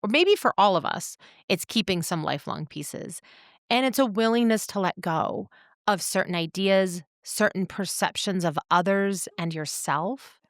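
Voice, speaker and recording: A woman podcast host talking reflectively in deliberate, measured short phrases. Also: medium pitch, no echo, clean studio sound. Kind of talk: reflective conversational talk.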